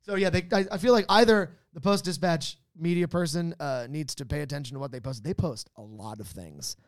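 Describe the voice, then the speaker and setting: A man's voice: fairly high pitch, fairly quick, no room sound, clean studio sound. A man co-hosting a comedy podcast, chatting casually with a joking, exaggerating manner. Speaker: podcast co-host.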